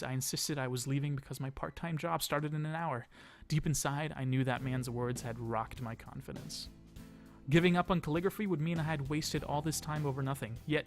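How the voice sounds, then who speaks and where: A man with a fairly high voice narrates a visual novel for a playthrough video. He reads the text out like a dramatized story and slips into offhand comments. Light game music plays behind him. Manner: dramatized storytelling with casual asides. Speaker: let's play video host reading the game text aloud. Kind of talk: expressive read-aloud narration of a visual novel.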